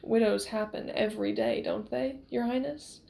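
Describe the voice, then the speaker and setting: A woman voicing a wry young male hero, soft and measured, with quiet menace. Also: fairly high pitch, fairly even intonation, gravelly. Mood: calm defiance.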